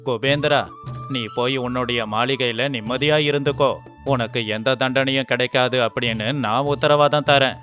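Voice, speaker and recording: A man playing a shrewd scholar on the throne in an animated moral story, commanding and measured, with mock sympathy. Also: medium pitch, faint music behind, close to an everyday voice. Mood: satisfied, assured. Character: shrewd, sarcastic scholar sitting as king, commanding.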